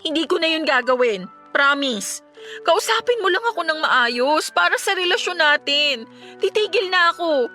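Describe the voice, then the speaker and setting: A woman playing a gentle, polite young girlfriend in a Filipino radio drama, earnest and deferential, with a Filipino accent. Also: high-pitched, lively intonation, moderate pace, soft background music. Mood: pleading.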